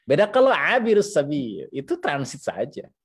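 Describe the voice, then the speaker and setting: A man's voice: a little high, wildly swooping pitch, normal speed, slightly rough. A man with an Indonesian accent giving an Islamic lecture, reading Arabic phrases and explaining them in a didactic, conversational way with repeated phrases. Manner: didactic, repeats phrases, conversational asides with frequent checking tags. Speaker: Islamic teacher.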